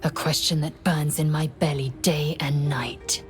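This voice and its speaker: Low, grave warrior woman. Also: steady tone, a little slow, faint music behind. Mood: grim, resolute. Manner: grave, commanding, formal. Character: stern, suspicious warrior woman, proud and battle-minded. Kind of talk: video game character acting.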